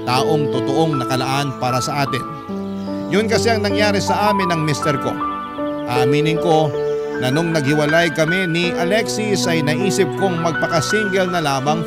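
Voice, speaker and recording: A man with a Filipino accent reading a listener's letter as a radio host, in dramatic scripted narration. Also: on the high side. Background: loud music bed.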